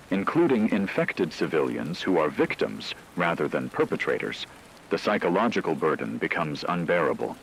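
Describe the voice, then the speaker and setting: Male actor as radio drama news anchor: very low pitch, measured and factual, grave, faintly hissy broadcast. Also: vocal fry, exaggerated voice, poor audio. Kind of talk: scripted emergency news report.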